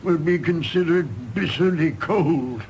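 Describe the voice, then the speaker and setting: An older man with an English accent playing a genial, doddery old colonial gentleman in a radio drama, rambling and grumbling. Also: fairly high pitch, slow, a little hiss or hum, poor audio. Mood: wry, resigned. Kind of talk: stage-play radio drama acting.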